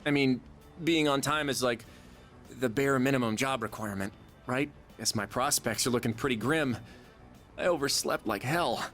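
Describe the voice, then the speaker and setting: An actor playing a goofy, hapless teenage schoolboy in a video game, rambling in a sheepish, slangy way. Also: male voice, mid-range voice, normal speed, fairly bright tone, close to an everyday voice. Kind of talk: casual video game dialogue. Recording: music under the voice.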